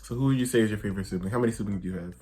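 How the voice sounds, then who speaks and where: A man's voice: on the low side, racing, darkish tone. A man doing his sister's makeup while asking her mock-interview questions in a playful, teasing way. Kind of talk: casual chat.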